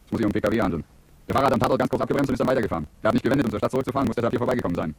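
A man playing a blunt policeman in a radio play. The voice is very husky and close, with a dry sound, and he reels off official phrases in clipped, very rapid speech. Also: mid-range voice, no echo, lightly acted. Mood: brusque, urgent. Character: brusque, matter-of-fact policeman on patrol. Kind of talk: radio drama acting.

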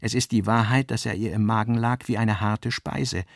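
A male narrator reads scripted literary prose for a radio drama. His voice is on the low side with a gravelly creak, recorded very dry. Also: fairly even intonation, moderate pace, no echo.

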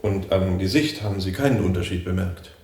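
An actor playing a businesslike chief inspector in a radio crime drama, questioning in a dry, methodical way. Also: male voice, low voice, fairly quick, darkish tone, lightly acted. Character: brisk, businesslike male police chief inspector. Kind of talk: 1980s radio drama acting. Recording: echoing room with faint hiss.

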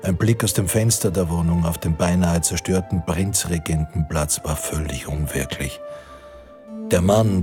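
An actor as a sober, observant storyteller giving literary scripted narration in a radio drama. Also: male voice, sits low, natural voice.

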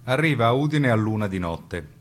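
A man's voice: mid-range voice, lively intonation, brisk. A man narrating a historical account for a radio drama, in documentary style.

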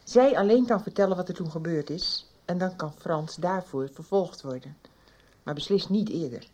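A woman's voice: fairly low pitch, moderate pace, noisy background, lightly acted. A woman playing an earnest, determined woman in a Dutch radio soap, speaking firmly.

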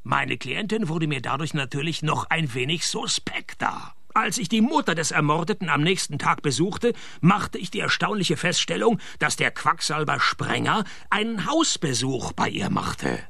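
An actor with a Swiss accent playing a cynical, deadpan private detective who narrates his own case in a radio play. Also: fairly high pitch, normal speed, gravelly, dry recording, full character voice. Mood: wry narrating. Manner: dry, sardonic, deadpan.

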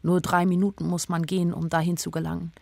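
A woman's voice: lowish voice, little pitch movement, fast, gravelly, darkish tone, dry recording. An actress playing an anxious young woman who narrates her own story in a radio drama, in a plain, introspective way. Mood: anxious, confused.

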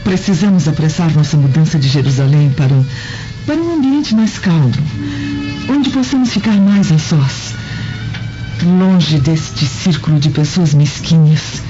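A woman's voice: deep voice, expressive, unhurried. A woman playing a devoted, tender and earnest Roman wife in a radio drama. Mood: tender concern. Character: devoted, gentle Roman wife troubled for her husband. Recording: muffled radio drama with music.

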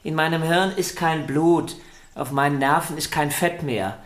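A man's voice: on the high side, measured pace, close to an everyday voice. Vain, stubborn violinist, acted by a man in echoey room. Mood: self-pitying. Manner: self-important, argumentative, theatrical. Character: vain, stubborn, celebrated male violinist arguing with his sister. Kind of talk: German radio play acting. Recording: roomy indoor echo.

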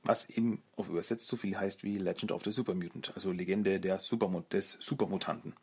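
A man with a fairly low, husky voice delivers a casual solo review as the host of a fan show. He is self-deprecating and feels his way through his sentences, thinking aloud. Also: fairly even intonation, fast, darkish tone.

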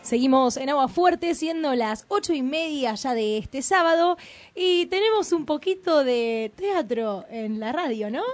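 Lady hosting a radio chat, kinda high voice, easygoing questions. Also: expressive, unhurried. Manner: friendly, conversational questioning. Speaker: radio host. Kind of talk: live radio interview.

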